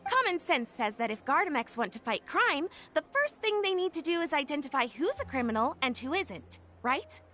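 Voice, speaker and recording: A woman voicing an enthusiastic young female tech inventor in a video game, with an upbeat pitchman's patter. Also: sharp tone. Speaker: game character.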